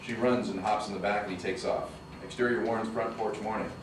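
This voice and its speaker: A man's voice: fairly low pitch, fairly even intonation, a little fast. A man reading the stage directions at a screenplay table read, heard from a distance in an echoey, noisy room.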